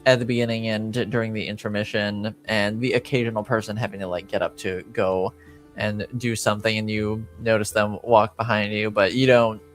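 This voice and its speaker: A man with a fairly low voice runs a tabletop roleplaying game. He improvises his narration in halting, casual phrases, with faint music behind him. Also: little pitch movement.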